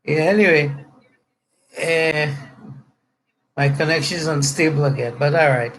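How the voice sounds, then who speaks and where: A middle-aged man with a Trinidadian accent and a voice pitched a little high. He rambles along casually and jokingly, as if chatting to viewers from his room at home. There is faint noise on the recording. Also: a little slow, fairly bright tone, low-quality recording. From Trinidad and Tobago. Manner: rambling, joking, spells out words to clarify. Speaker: pastor. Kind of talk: casual chat to online viewers.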